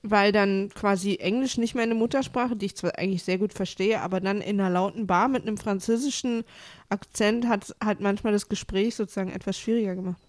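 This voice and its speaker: A woman's voice: medium pitch, fairly even intonation, a little fast, darkish tone, no echo. Blunt woman, dry close sound. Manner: blunt, matter-of-fact opinions. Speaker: podcast co-host. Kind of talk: casual chat.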